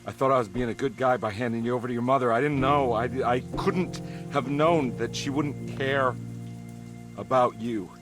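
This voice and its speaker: An actor playing a brooding, guilt-ridden, world-weary private detective in a video game, speaking in a halting noir manner. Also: male voice, medium pitch, music in the background, natural voice. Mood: remorseful. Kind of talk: noir narration and dramatic game dialogue.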